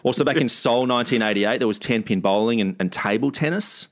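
An Australian man co-hosting a casual sports podcast, making dry, deadpan jokes. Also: mid-range voice, fairly quick. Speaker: podcast co-host. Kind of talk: casual comedic sports chat.

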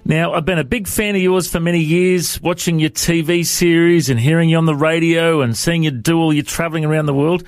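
A man's voice: fairly high pitch, fairly even intonation, fairly quick, few pauses, no echo, faint music behind. A man with an Australian accent hosting a podcast interview, friendly and chatty with his guest. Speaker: podcast host.

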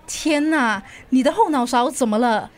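Actress as high-voiced, friendly acquaintance. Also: female voice, normal speed, close to an everyday voice. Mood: shocked. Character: friendly, easily shocked woman acquaintance. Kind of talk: Mandarin radio drama acting.

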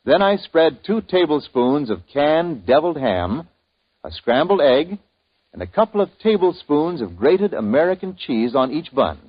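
A man hosting a 1950s radio show, with a folksy, husky announcer voice. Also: fairly high pitch, a little slow. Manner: folksy, lively announcer delivery. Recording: dull old radio broadcast.

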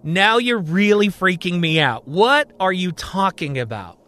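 Man voicing a bewildered, insistent woman, slow-paced. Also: sits high, big pitch swings, fairly bright tone, full character voice. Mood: freaked out. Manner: insistent, disbelieving questioning. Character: bewildered, incredulous woman demanding answers.

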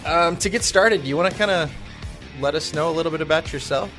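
A man's voice: fairly high pitch, fast, a bit bright, soft background music. Upbeat man hosting a podcast. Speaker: podcast host. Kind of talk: podcast chat and read sponsor spot.

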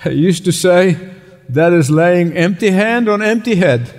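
A man from Egypt preaching a sermon, emphatic, repeating key phrases and slowing down to stress words. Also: high voice, lively intonation, darkish tone. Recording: long reverb of a large hall.

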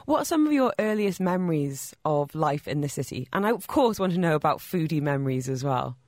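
A woman's voice: low-pitched, big pitch swings, brisk, darkish tone. A woman hosting a radio talk show, asking questions in a friendly, conversational way. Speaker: radio host.

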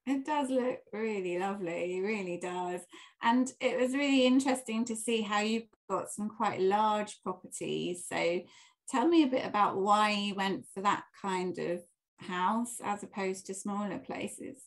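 A woman's voice: mid-range voice, a little slow. A woman hosting a podcast interview, upbeat and welcoming. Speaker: podcast host.

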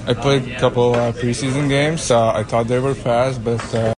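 Young male hockey player, flat halting delivery, non-native phrasing, voices murmuring behind. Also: mid-range voice, poor audio. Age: young adult. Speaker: professional ice hockey player. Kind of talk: post-practice interview. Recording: busy chatter behind interview.

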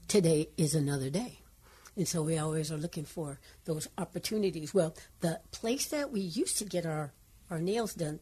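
Older woman with a carrying husky voice. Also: low-pitched. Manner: lively storytelling, bursts into song. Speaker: radio co-host, pastor's wife.

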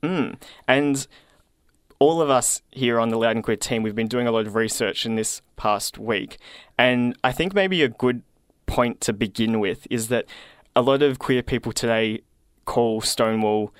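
A man in his twenties with an Australian accent hosting a community radio show in a studio, upbeat and quick. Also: mid-range voice, clear, resonant tone, dry recording. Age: 20s. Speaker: radio host.

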